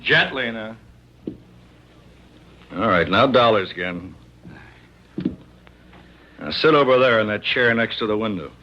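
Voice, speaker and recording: Forceful, sardonic radio cop. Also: male voice, lowish voice, lively intonation, measured pace, long pauses. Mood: commanding, dry. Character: gruff, dry male police lieutenant. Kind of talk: 1950s radio drama acting. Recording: dull vintage radio recording.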